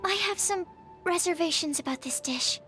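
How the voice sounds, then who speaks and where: An actress voicing a shy, bookish young female bioalchemist in a video game, soft-spoken, hesitant, polite and precise, easily flustered. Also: very high-pitched, normal speed, faint music behind. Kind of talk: video game character voice lines.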